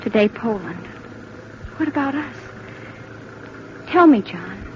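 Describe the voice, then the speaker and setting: An actress playing an anxious, devoted young sweetheart, earnest and insistent, in an old-time radio drama. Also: female voice, fairly high pitch, animated, slow. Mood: anxious, pleading. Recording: muffled, noisy vintage radio recording.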